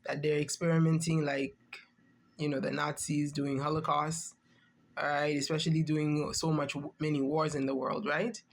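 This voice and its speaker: A woman's voice: low voice, level tone, moderate pace. A woman giving a reaction-channel commentary monologue, emphatic and rhetorical, often checking in with the listener.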